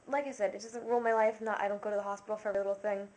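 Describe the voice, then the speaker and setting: A young woman talking casually to camera, rambling through a list with lots of fillers and dropping into quiet, self-conscious asides. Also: medium pitch, flat delivery, rapid-fire. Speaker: vlogger. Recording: faint background noise.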